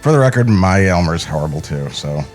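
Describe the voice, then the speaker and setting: A man's voice: low-pitched, lively intonation, fairly quick, faint music behind. A man co-hosting a podcast with casual, comedic banter. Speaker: podcast co-host.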